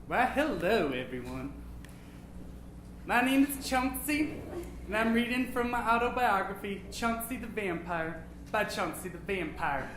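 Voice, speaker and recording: A man's voice: high-pitched, lively intonation, a little slow. A man voicing a vain, absent-minded old vampire, reading a comic storybook aloud in a melodramatic, pompous, campy manner. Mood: grandly mysterious. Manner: melodramatic, pompous, campy, hushed then suddenly loud. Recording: echoey room, noisy live recording.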